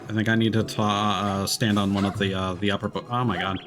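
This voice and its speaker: A man's voice: on the low side, level tone, a little fast. A man streaming a video game, thinking aloud in casual, rambling commentary while he plays. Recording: game music behind the voice. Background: video game music.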